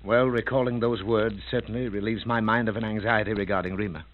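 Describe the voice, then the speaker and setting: Man as commanding investigator, low-voiced, vintage radio. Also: fairly even intonation, fast talker, lightly acted. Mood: assured. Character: brisk, commanding British investigator, shrewd and urgent. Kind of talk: 1940s radio drama acting. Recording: narrow, tinny, hissy old radio recording.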